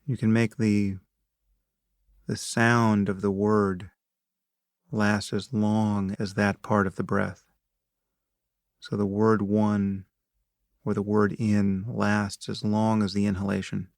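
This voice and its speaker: A man leading a guided meditation, speaking in a measured, unhurried way with long pauses and calm instruction. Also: fairly low pitch, gravelly, no room sound, clean studio sound.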